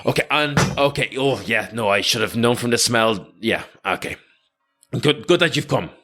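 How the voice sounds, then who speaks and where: Man voicing a brisk, excitable guard captain. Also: mid-range voice, faint music behind. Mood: brisk, commanding. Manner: hurried, halting orders in short bursts.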